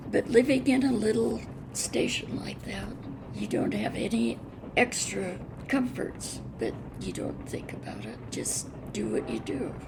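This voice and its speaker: An elderly American woman, past eighty, reminisces unscripted in a plain-spoken, halting, reflective way, pausing mid-sentence. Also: medium pitch, big pitch swings, vocal fry, heavy background noise. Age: over 80. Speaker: interviewee, retired railroad telegrapher. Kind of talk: unscripted oral-history reminiscence.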